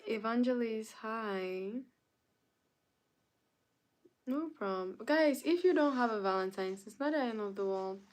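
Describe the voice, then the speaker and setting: A young woman from Canada hosts a relaxed live stream, talking at an unhurried, drawn-out pace. She greets viewers one by one and replies to their chat comments in an easygoing, conversational way. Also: on the high side, low-quality recording. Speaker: livestreamer.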